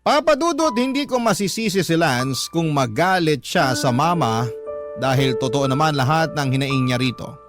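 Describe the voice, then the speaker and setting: A man's voice: on the high side, animated, normal speed, close to an everyday voice. A man acting a troubled parent narrating family woes as a letter in a radio drama. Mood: troubled, confiding. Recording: prominent music bed under voice.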